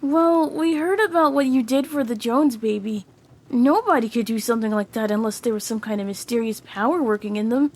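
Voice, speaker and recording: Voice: mid-range voice, brisk, lightly acted. A performer playing an earnest, suspicious middle school boy in an audio drama, anxious and politely apologetic. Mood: earnest, hesitant. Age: child character, middle school.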